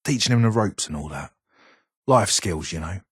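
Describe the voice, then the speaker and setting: An actor playing a gruff, irritable older ghoul weapons engineer in a video game, with a British accent, a gravelly rasp and a curt, guarded manner. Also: male voice, low-pitched, lively intonation, normal speed, fairly bright tone, no echo, clean studio sound, exaggerated voice. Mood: gruff, reminiscing. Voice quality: raspy, gravelly. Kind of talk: video game character dialogue.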